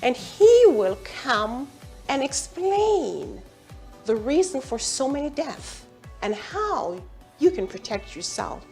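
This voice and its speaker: A woman doctor hosts a television health show from a studio, with a high voice. She swings widely in pitch as she talks. Faint music sits under her. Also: very animated, slow, a little mellow. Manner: emphatic, questioning the viewer.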